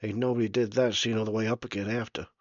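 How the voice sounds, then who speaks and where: A man's voice: fairly low pitch, flat delivery, fast, dry recording, highs cut off, lightly acted. A man voicing an older, plainspoken male ferryman who is wary, with a folksy rural drawl and loose grammar. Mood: wistful, guarded.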